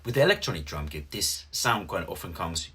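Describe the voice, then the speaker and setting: A man's voice: low-pitched, sing-song, fairly quick, over loud music. A man in his thirties with a Finnish accent, patiently explaining drum notation step by step as a teacher, with small slips in his English. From Finland. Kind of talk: instructional explanation.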